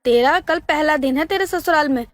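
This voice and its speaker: A woman's voice: sits high, normal speed, no room sound, close to an everyday voice. A woman voicing a proud, bossy middle-aged mother-in-law in a family story. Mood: instructing.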